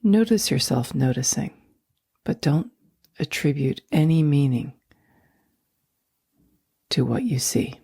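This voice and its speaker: A woman guiding a sleep meditation in a soft, breathy near-whisper, speaking slowly and gently with long, soothing pauses. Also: deep voice, lively intonation, no room sound, studio quality. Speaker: meditation guide.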